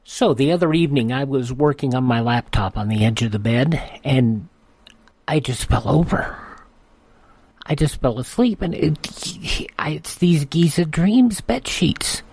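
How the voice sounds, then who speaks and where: A man giving a folksy, conversational testimonial as a pitchman in a radio ad, often repeating himself. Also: unhurried, a little mellow, breathy edge, no echo. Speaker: advertising pitchman.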